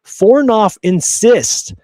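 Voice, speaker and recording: A man's voice: high-pitched, animated, very slow, warm tone, dry recording. A man hosting a podcast, discussing history in a casual, conversational way with blunt, opinionated remarks. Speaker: podcast host.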